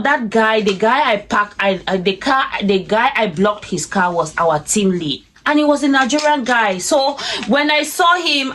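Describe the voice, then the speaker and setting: Casting: young Cameroonian woman, lively storyteller, laughs often, voice sits a bit high. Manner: animated, laughs often, acts out quoted speech. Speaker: vlogger telling a story. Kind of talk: casual storytime chat.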